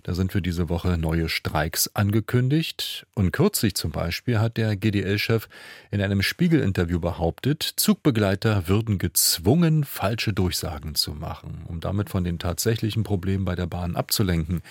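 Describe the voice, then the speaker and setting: Male radio presenter, deep register, dry studio sound, scripted news intro. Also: animated, normal speed, slightly rough, dry recording.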